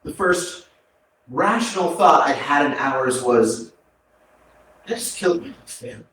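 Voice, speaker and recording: A man in a staged play reading, acting a remorseful prisoner who confesses to killing his family in halting, subdued, terse phrases. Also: mid-range voice, animated, a bit bright. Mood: bleak. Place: arts center stage, indoors, recorded from afar. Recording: very echoey hall, distant mic, background noise.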